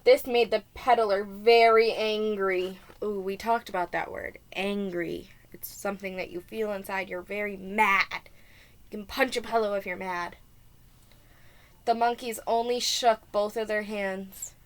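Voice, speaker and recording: A woman preschool teacher reading a children's storybook aloud with asides, expressive and deliberate, stressing key words. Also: medium pitch.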